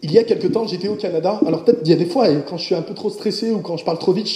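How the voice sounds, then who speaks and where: A man preaching a sermon, speaking directly to his congregation in an animated way. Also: sits high, racing, echoey room. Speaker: pastor.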